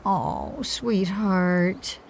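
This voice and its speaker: A woman's voice: mid-range voice, steady tone, extremely slow. A woman playing a sympathetic, supportive friend in an audio comedy drama, earnest and consoling.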